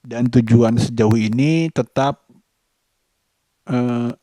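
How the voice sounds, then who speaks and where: A man, giving a casual vlog monologue in halting, deliberate short phrases. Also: medium pitch, warm tone, no echo. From Indonesia. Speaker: vlogger.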